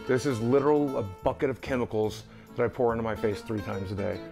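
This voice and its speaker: A middle-aged man speaks casually to camera with music playing behind him. His voice sits at an ordinary male pitch. He comes across as candid and self-deprecating, like a video creator walking viewers through his home. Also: fairly quick, creaky. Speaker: online video creator giving a house tour. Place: rooms of a house, indoors. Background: background music.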